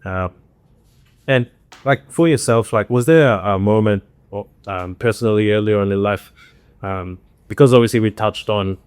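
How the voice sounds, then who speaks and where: A man's voice: fairly low pitch, moderate pace, darkish tone, smooth, dry recording. A man hosting a podcast, friendly and affirming, with casual slang. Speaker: podcast host. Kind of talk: casual interview chat.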